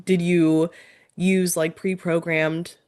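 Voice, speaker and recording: A woman hosting an interview, asking curious, drawn-out follow-up questions. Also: lowish voice, level tone, darkish tone. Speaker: interview host.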